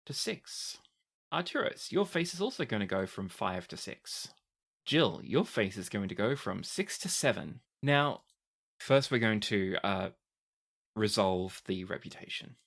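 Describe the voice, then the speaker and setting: A man acting as game master, narrating a tabletop roleplaying game and explaining it deliberately. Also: fairly low pitch, expressive, fairly bright tone.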